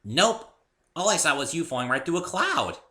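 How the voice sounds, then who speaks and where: Casting: bubbly girl pony for a cartoon storybook, played by a man, pitched up high, bright, chirpy, friendly and rambling. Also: very animated, normal speed. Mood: chirpy, silly. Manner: perky, sweet, rambling. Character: bubbly young female pink cartoon pony, friendly, scatterbrained.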